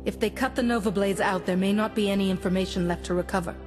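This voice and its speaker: A woman playing a calm, resolute Jedi hero in a video game, measured and earnest. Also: mid-range voice, over music, natural voice.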